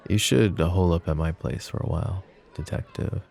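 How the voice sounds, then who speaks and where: Man acting a very deep-voiced, gravelly mafia boss. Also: moderate pace, a little mellow. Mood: teasing, flirty. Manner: smooth-talking, teasing, pet names, sighs. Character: flirty, possessive mafia boss, teasing yet protective. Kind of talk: roleplay ASMR acting.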